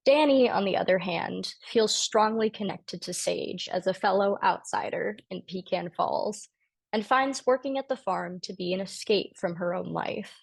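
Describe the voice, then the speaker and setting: Creaky-voiced woman narrating, dry recording. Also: medium pitch, normal speed, dry recording. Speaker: writer-director reading stage directions. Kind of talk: scripted narration, introducing a table read.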